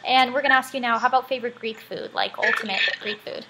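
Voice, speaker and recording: Young woman interviewer, high voice, upbeat questions, noticeable background noise. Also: steady tone, quick pace. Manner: upbeat, encouraging, many short acknowledgments.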